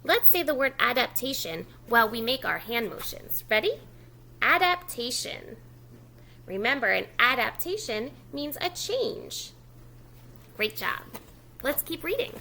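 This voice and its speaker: Female preschool storytime reader: slow, very expressive delivery, lively pitch swings, encouraging asides for little listeners. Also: vocal fry. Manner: expressive, encouraging, simple child-directed talk with prompts to repeat words. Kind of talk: children's picture-book read-aloud with asides to young listeners.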